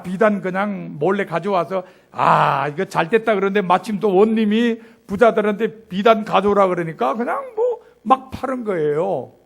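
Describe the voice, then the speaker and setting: Give me a high-voiced male pastor telling a sermon story with lots of animated swings. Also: creaky. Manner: lively storyteller, rising and falling emphasis.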